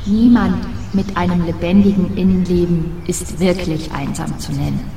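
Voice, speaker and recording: A woman's voice: fairly low pitch, fairly even intonation, moderate pace, mellow, close to an everyday voice. Echoey, defiant single career woman, acted. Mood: insistent, declaiming. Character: self-justifying single career woman arguing with her inner voice. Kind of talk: audio drama monologue and dialogue. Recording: echoey room, faint background noise.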